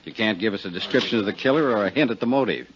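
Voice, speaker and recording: A man's voice: on the low side, lively intonation, racing, lightly acted. A man playing a blunt, sardonic, hard-pushing American police lieutenant in a 1950s radio detective drama. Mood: sardonic, pressing. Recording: muffled vintage 1950s radio recording.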